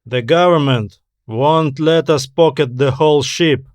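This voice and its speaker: A man's voice: on the high side, a little slow, no echo, lightly acted. Man acting a cautious, level-headed space explorer. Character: cautious, matter-of-fact male space explorer partner.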